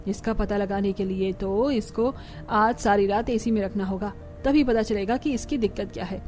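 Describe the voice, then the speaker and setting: A woman's voice: mid-range voice, moderate pace, a little mellow, faint music behind, natural voice. A woman playing an older, bossy mother-in-law in a cartoon family drama, with an admonishing manner. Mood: determined.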